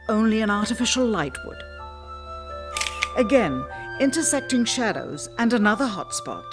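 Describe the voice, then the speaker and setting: Formal female documentary narrator over music. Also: fairly high pitch. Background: music bed.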